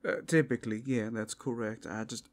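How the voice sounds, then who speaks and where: Wry male tavern regular played by a man: gravelly, casual, dryly impatient, for an ASMR fantasy roleplay. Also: on the low side, steady tone, brisk, lightly acted. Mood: impatient, grudging. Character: wry, grudging male bar and brothel associate.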